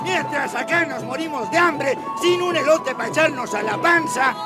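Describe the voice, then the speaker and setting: An actor playing a fiery Mexican peasant revolutionary who rallies his men in drawn-out, shouted oratory for a radio drama. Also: very high voice. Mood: indignant. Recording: loud music and crowd behind the voice.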